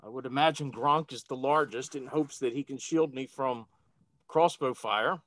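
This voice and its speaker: A man taking part in a tabletop game as a player, declaring his moves deliberately and a little formally. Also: mid-range voice, fairly bright tone, no echo. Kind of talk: casual game chat.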